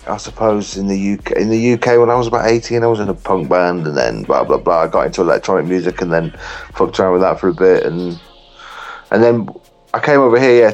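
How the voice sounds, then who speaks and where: Casting: English guy, 30s, relaxed rambling interviewee, slightly low voice, faint music under. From England. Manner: rambling, self-correcting, casual. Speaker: interview guest, music producer. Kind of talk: casual interview chat.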